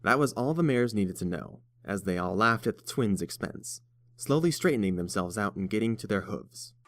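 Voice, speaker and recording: A man's voice: on the low side, expressive, moderate pace, slight background noise. A man reading scripted story narration as the narrator.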